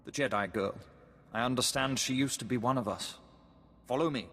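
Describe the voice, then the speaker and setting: An actor playing a cold, cruel Sith overseer in a video game, commanding and condescending. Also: male voice, mid-range voice, moderate pace, fairly bright tone, lightly acted. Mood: stern, imperious. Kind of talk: video game villain acting. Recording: long hall reverb, music underneath.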